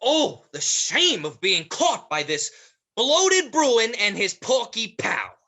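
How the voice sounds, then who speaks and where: Casting: woman as menacing, scornful ringleader beast, theatrical stage delivery, slow and widely swooping. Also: a little high, sing-song, sharp tone, heavily acted. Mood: mocking. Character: sardonic, menacing ringleader creature tormenting a teenage boy. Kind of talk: livestreamed stage play reading.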